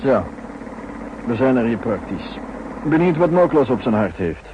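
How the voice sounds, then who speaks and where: Nosy coworker in a spy radio play, 60s sound, lo-fi with clear noise behind. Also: male voice, medium pitch, very animated, measured pace. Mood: curious. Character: inquisitive male colleague of the counterspy chief. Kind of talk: 1960s Dutch radio drama acting. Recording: dull, clearly noisy 1960s radio recording.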